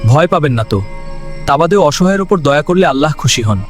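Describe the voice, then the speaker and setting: A man's voice: fairly high pitch, animated, a little fast, soft background music, natural voice. A man voicing a stubborn village man in a horror cartoon, reassuring and dismissive of fears.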